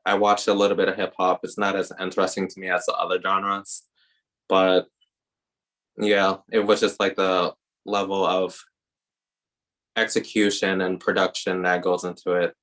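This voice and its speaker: Podcast host, male, deep and monotone, low-key and even. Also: normal speed, low-quality recording. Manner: self-described introvert, even-toned. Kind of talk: casual interview chat.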